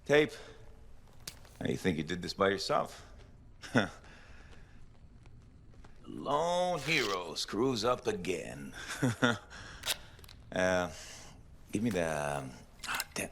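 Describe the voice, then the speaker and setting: Grumbling male mechanic with animated intonation. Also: low-pitched, drawn-out words, pauses often, a little hiss or hum, heavily acted. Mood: wry, irritated. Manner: blunt, grumbling, muttering. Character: gruff, practical male mechanic, scolding but steadying comrade. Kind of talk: naturalistic video game acting.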